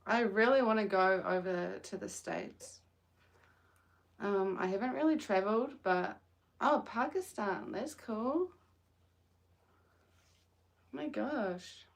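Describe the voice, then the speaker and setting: Soft-spoken young New Zealand woman. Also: medium pitch, takes it slowly, vocal fry, pauses often. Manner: soft-spoken and hesitant, with frequent "um"s. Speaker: crochet livestreamer. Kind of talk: casual livestream chat. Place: outdoors in the sun.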